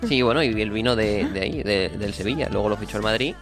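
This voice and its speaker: A man co-hosting a radio chat, calmly reasoning through his points. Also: lowish voice, fast talker, music in the background. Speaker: radio co-host.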